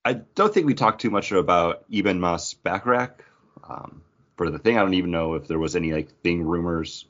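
A man's voice: low-pitched, moderate pace, a bit bright, muffled recording. A man co-hosting a podcast, chatting casually about geek culture in a joking, teasing way. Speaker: podcast co-host.

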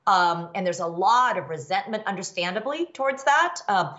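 A woman's voice: mid-range voice, expressive, moderate pace, a bit bright, dull audio. A woman in her fifties from the American Midwest, answering interview questions candidly with many fillers and restarts. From United States, Midwest. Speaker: guest expert, law professor.

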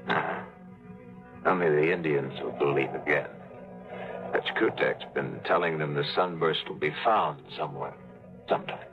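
An actor playing a hard-bitten adventurer, narrating in a clipped, dry, sardonic way in a 1950s radio drama. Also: male voice, very deep voice, normal speed, long pauses, dull audio. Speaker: narrator and lead. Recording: scratchy phone-thin 1950s radio broadcast with music.

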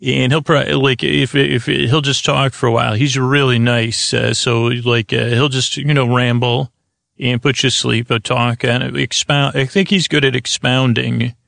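A man hosting a bedtime podcast in a rambling, improvised monologue, halting and wandering off on tangents, with a gravelly voice. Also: mid-range voice, little pitch movement, a bit bright, no echo, studio quality. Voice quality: gravelly, creaky. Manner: meandering, halting, digressive, self-interrupting. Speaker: podcast host. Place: close, dry studio.

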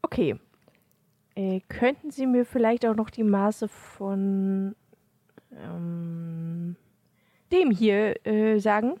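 Actress as clueless shy customer, medium pitch, hesitant, awkward, dry close sound, audio play. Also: female voice, extremely slow, long pauses, dark tone, no echo. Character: timid, naive, clueless post office customer. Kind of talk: amateur audio drama acting.

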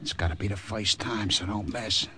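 Man playing a tough low-voiced American saboteur. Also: moderate pace, natural voice. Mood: wry, tense. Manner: brash, wry, slangy. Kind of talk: old-time radio drama acting. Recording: noisy old radio drama recording.

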